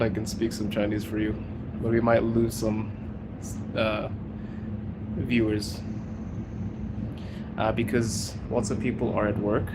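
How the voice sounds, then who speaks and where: A man from Zimbabwe hosting a casual live stream, chatting with viewers and answering their questions while riding the metro. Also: on the low side. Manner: chatty, answers chat questions, laughs often. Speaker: livestream host. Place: Moscow metro train and platform. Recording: loud train and city noise.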